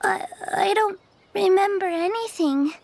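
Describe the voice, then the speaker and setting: A woman voicing a timid, polite young child in a video game, hesitant and soft-spoken. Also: very high-pitched, a little slow, creaky, a little hiss or hum, full character voice. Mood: lost, sad.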